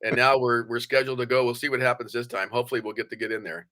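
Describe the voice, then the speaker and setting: Blunt older man, bright forceful voice. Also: medium pitch, level tone, rapid-fire. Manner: blunt, plain-spoken, motivational. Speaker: podcast guest. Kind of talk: conversational interview.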